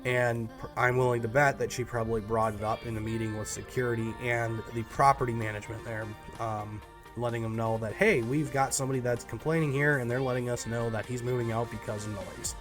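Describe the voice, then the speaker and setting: A man's voice: mid-range voice, steady tone, fairly quick, music in the background. A man with a stuffy, congested voice gives a casual personal update to his viewers, rambling with lots of ums and restarts. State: stuffed up, nasal from a cold. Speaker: YouTuber.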